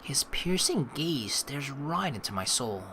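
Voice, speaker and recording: A man's voice: mid-range voice, very animated, measured pace, pushed and bright, slight background noise. A young man hosting a let's play, reading game narration aloud and adding casual commentary.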